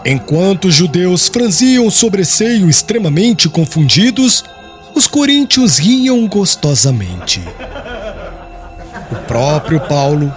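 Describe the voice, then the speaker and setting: A man reading scripted audiobook narration as an expressive, solemn storyteller. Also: sits high, slow pace. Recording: music behind the voice.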